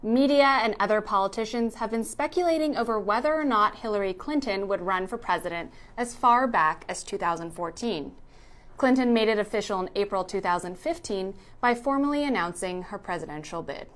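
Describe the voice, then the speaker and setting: A woman reading a scripted narration in the style of a news recap. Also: mid-range voice.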